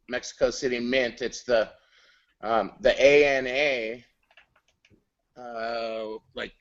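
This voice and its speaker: A man explains things steadily to a group on a casual online call. His voice sits at a middle pitch. The audio is dull and lo-fi. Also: fairly bright tone. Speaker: hangout regular, coin seller. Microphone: video call.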